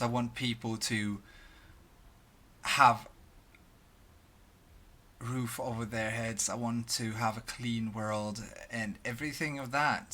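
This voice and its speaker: A young Swedish man talking to his webcam in English, halting with many restarts and fillers. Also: lowish voice, bright, forceful tone. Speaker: vlogger. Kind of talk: casual talk to camera. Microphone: headphones, desk mic at webcam.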